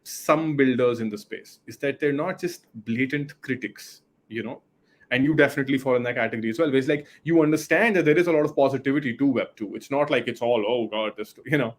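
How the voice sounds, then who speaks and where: A man in his late twenties, a guest on a casual podcast, talking with quick, enthusiastic bursts. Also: medium pitch. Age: 20s.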